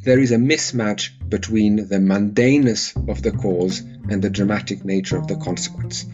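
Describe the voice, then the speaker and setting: A man from Serbia, a psychology lecturer answering interview questions in a calm, methodical way. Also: on the low side, normal speed, highs cut off, music in the background.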